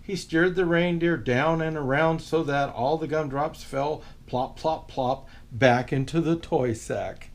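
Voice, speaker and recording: A man reading a children's picture book aloud as a storyteller, expressive and unhurried. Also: fairly high pitch, creaky.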